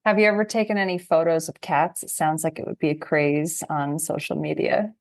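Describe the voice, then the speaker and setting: A woman's voice: low voice, fairly even intonation, a little fast. A woman hosting a podcast interview who asks friendly, encouraging questions. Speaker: podcast host.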